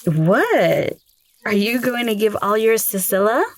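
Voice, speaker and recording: A woman's voice: mid-range voice, lively intonation, a little slow. An actress playing a blunt, teasing young village woman in an audio drama.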